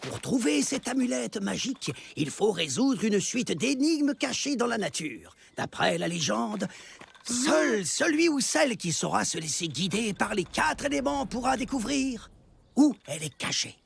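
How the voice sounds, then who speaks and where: A performer playing an earnest cartoon father telling an ancient legend mysteriously, with a gravelly voice. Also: mid-range voice, big pitch swings, unhurried, a little hiss or hum, heavily acted. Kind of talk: storytelling in a French animated cartoon. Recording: music bed under the voice.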